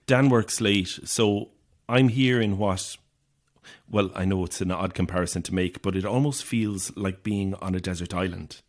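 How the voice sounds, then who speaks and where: A man's voice: low-pitched, moderate pace, natural voice. An actor playing an anxious, isolated young Irish man, edgy and sardonic, in a naturalistic audio horror drama. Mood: uneasy, subdued.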